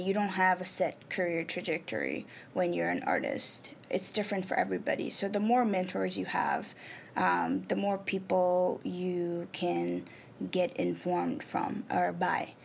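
Gravelly-voiced Nepali-born woman artist. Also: mid-range voice, darkish tone. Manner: thoughtful, many ums and pauses. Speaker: artist and arts program manager. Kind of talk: interview answers. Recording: faint background noise.

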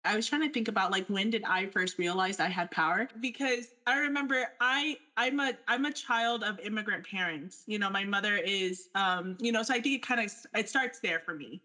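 A woman's voice: medium pitch, brisk, few pauses, pushed and bright. A young adult woman speaking earnestly and candidly in a panel discussion, talking through her thoughts with fillers. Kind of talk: personal reflection in a panel discussion.

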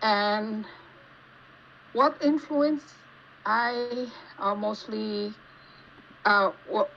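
A woman with an Indonesian accent giving casual interview answers, haltingly searching for words as she goes. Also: mid-range voice, steady tone, fairly bright tone. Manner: halting, searching for words in non-native English. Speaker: podcast guest, geologist. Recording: dull lo-fi call with faint noise.

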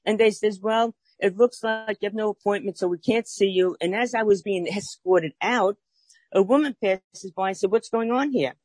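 A woman's voice: medium pitch, normal speed, darkish tone, no room sound. A woman in her sixties with a Brooklyn accent, telling her life story as an interview guest, earnest and plainspoken with some fillers. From Brooklyn, New York.

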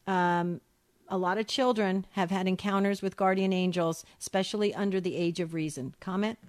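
A woman with a New Jersey accent hosting a call-in radio show, talking briskly and upbeat as she hurries through questions and announcements. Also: medium pitch, a little mellow, no room sound.

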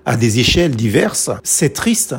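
A man whose first language is a Creole, preaching a sermon in short, measured, emphatic phrases. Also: medium pitch, big pitch swings, breathy edge.

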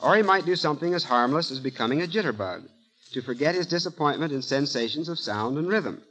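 Precise professor, slightly high male voice. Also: lively intonation, quick pace, a bit bright, rough recording. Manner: precise, didactic, formal. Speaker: guest psychologist, professor. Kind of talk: scripted 1930s radio lecture.

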